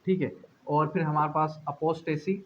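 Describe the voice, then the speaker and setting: High-pitched Pakistani man lecturing. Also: steady tone, normal speed. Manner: didactic, repeats key phrases, asks and answers own questions. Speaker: law lecturer. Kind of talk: explanatory exam-prep lecture, switching between reading legal clauses and explaining. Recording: dull lo-fi audio with faint noise.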